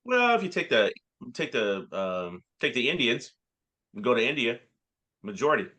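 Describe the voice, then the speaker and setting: Guy rambling on a comedy podcast, bright sharp voice, lots of ums. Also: medium pitch, sing-song. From Canada. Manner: rambling, halting storyteller with frequent filler sounds and chuckles. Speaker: podcast co-host. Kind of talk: casual comedic chat.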